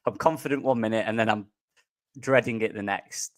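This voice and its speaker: A man's voice: fairly low pitch, a little fast, dry recording. A man joining a casual football chat as a guest, earnest and emphatic, repeating his points. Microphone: remote stream call.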